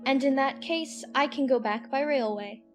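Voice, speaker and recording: A woman's voice: high voice, moderate pace, over music, close to an everyday voice. A woman playing a polite, earnest little girl who muses to herself in a dramatized audiobook. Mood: rueful musing.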